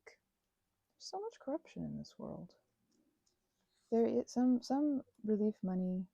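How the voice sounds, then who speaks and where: Female ASMR rambler, husky and dark-toned, earnest, wandering delivery with plenty of self-correction, close-miked. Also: mid-range voice, no echo. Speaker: host of a craft ramble channel. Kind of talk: unscripted ASMR craft ramble.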